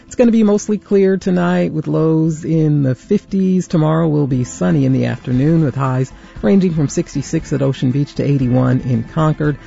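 A man's voice: mid-range voice, gravelly, warm tone, highs cut off. A man with an American accent reading scripted promos and weather forecasts as a radio station announcer.

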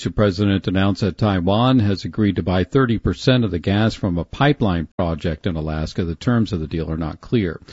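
Steady male radio newscaster. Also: lowish voice, dry recording, dull audio. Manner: steady, formal news delivery. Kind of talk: newscast reading.

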